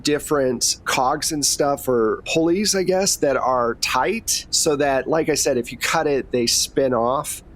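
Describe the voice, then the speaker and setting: A man's voice: mid-range voice, a little slow, hardly any pauses, poor audio. Casual man running a tabletop game. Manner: conversational, explanatory. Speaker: game master.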